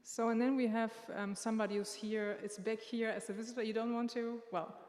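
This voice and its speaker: A woman giving unscripted church announcements in a church sanctuary, halting and pausing often as she speaks into a microphone. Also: a little high, flat delivery, a little mellow. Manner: halting, with many filler pauses. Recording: long reverb of a large hall.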